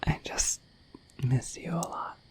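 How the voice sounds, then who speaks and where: A woman voicing a shy, affectionate, dorky dragon in a bashful, hesitant breathy whisper for an ASMR roleplay. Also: slow pace, lightly acted. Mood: flustered, affectionate. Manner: bashful, hesitant, apologetic, rambling.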